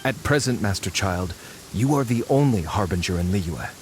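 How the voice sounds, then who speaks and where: An actor playing a dutiful military subordinate in a video game, formal, deferential and earnest. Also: male voice, on the low side, animated, normal speed, a little hiss or hum, close to an everyday voice. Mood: solemn, pleading.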